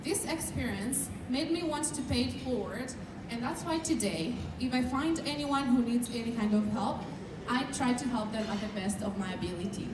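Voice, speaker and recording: A young woman from Kenya with a Kenyan accent, giving an earnest, measured prepared speech as a student speaker at an event. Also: fairly high pitch. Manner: measured, earnest, sometimes shy. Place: large event hall. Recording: echoey hall, distant mic, noisy.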